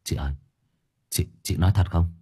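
A man's voice: sits low, moderate pace, dark tone, husky, voice pushed down. A man voicing a nosy younger neighbour who probes and chides in colloquial speech within a narrated story. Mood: probing. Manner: probing, colloquial, admonishing. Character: nosy younger neighbour questioning and gently chiding a wife.

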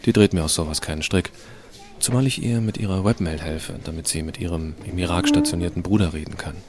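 A man's voice: deep voice, fairly even intonation, brisk, very noisy, lightly acted. A man playing a cocky, irreverent teenage schoolboy who narrates his own story in a sardonic, colloquial way in an audio drama. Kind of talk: first-person scripted narration, fan audio drama.

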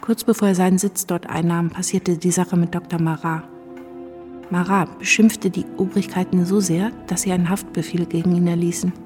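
A woman's voice: lowish voice, flat delivery, moderate pace, darkish tone, faint music behind, natural voice. An actress playing a composed wife and mother who narrates revolutionary times in a radio drama.

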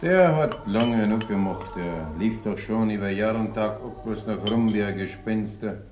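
Actor as a village innkeeper, muffled and echoey. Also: male voice, on the low side, normal speed, close to an everyday voice. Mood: sociable. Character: gruff, sociable village innkeeper. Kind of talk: German radio drama acting. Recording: dull, echoey, noisy room.

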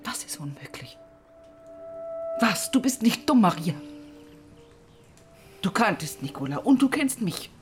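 A woman's voice: medium pitch, very animated, a little slow, pauses often, faint music behind. An actress playing a stern, secretive older foster mother in a radio drama, grave and admonishing. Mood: stern defensive.

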